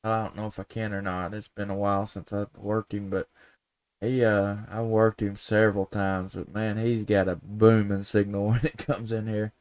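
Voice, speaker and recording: Smooth-voiced man chatting on ham radio. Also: fairly low pitch, flat delivery, normal speed, a little mellow, no room sound. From United States. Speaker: amateur radio operator, live stream host. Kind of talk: casual radio contact chat.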